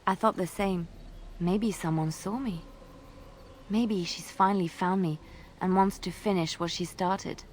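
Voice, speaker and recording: A wronged, resolute young adventurer from a fantasy video game, voiced by a woman. Her voice sits a little low, and she speaks with wary, earnest intensity. There is faint ambient noise behind her. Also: steady tone, unhurried, close to an everyday voice. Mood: brooding, resolute. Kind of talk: video game dialogue acting.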